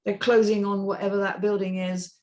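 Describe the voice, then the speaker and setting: A woman's voice: medium pitch, little pitch movement, a little fast, a little mellow. A woman on a script reading panel giving an unscripted, emphatic and blunt critique in discussion.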